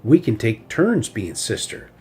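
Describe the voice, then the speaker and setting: A man voicing a stubborn, fiery young female bear cub who shouts when crossed. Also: mid-range voice, wildly swooping pitch, measured pace. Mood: cheerful. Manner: shouty, indignant.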